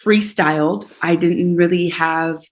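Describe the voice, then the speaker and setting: A woman from Hawaii speaks as a podcast guest in a slightly low voice. She is earnest and reflective and often pauses with small fillers. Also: steady tone. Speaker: podcast guest, singer and yoga teacher. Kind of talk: casual conversation.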